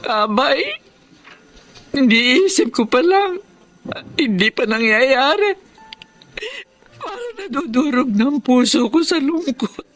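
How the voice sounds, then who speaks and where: A woman's voice: high-pitched, lively intonation, takes it slowly, pauses often. A woman playing an elderly, devoted Filipino grandmother in a radio drama, with a tender, plaintive way of talking. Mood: sorrowful. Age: older. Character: elderly grandmother, sorrowful, devoted, supportive mother.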